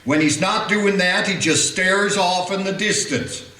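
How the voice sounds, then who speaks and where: An actor playing a grumbling, impatient small-town deputy in a radio play, talking in a folksy way. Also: male voice, high-pitched, measured pace, bright, forceful tone. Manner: complaining, folksy drawl of speech. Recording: roomy echo.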